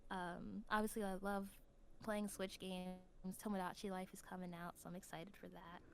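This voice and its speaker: A young adult woman chatting casually as a podcast guest, telling stories in an animated, slangy way. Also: mid-range voice, moderate pace. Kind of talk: casual chat. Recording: clear background noise.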